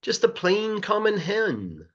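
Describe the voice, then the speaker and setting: A man reading a children's rhyming story aloud in a playful, rhythmic, expressive way, like a teacher. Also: sits high, takes it slowly, dull audio. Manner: animated, playful, rhythmic verse delivery.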